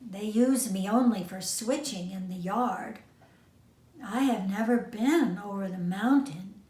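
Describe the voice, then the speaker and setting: A woman plays a small storybook train engine, female and kind. She speaks softly and modestly with steady resolve, unhurried, in an echoey room. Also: mid-range voice, close to an everyday voice. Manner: gentle, soft-spoken. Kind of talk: children's storybook character voice.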